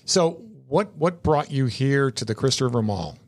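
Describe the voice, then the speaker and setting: A man hosting a small local podcast, talking in a friendly, rambling way and doubling back on his words. His voice sits at a middling pitch and he chats easily with his guest. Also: lively intonation, moderate pace, no room sound, studio quality. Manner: chatty, folksy, repeats words while thinking. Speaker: podcast host. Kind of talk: local business interview. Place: podcast studio inside a shopping mall.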